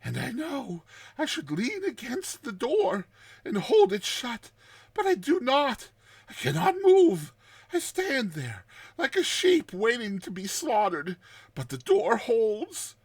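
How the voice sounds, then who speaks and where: A man voicing a terrified man telling his nightmare in a dramatized story, with tense pauses, hushed passages and explosive outbursts. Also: very high voice, wildly swooping pitch, slightly rough, no room sound, pitched above the performer's own voice, full character voice. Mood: panicked terror. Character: terrified man recounting his nightmare of a lurking giant serpent.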